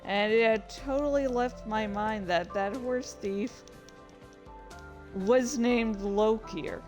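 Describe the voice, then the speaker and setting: A woman giving casual, rambling commentary as a writer, halting and self-deprecating, with some swearing. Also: on the high side, darkish tone, faint music behind. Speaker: writer reading and commenting on own story.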